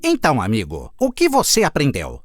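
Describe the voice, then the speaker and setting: An actor performs a sly, cheeky fox from a children's cartoon. The delivery is smug and coaxing, with very animated, swooping intonation. Light music plays behind the voice. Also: mid-range voice, brisk. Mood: smug, lecturing. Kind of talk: children's cartoon fable character voice.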